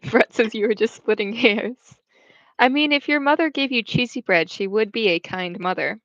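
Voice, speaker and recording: A woman's voice: on the high side, normal speed, a bit bright, no room sound. A young woman hosting a casual livestream, chatting and reading out viewer comments, rambling between English and French. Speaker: livestream host. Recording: dull, dry home stream audio.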